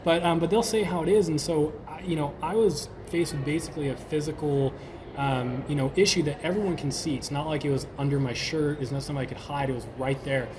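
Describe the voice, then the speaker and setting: A man's voice: on the high side, fast, background noise. A man in his twenties from Sweden, a podcast guest talking casually and enthusiastically. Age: 20s. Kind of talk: casual interview chat.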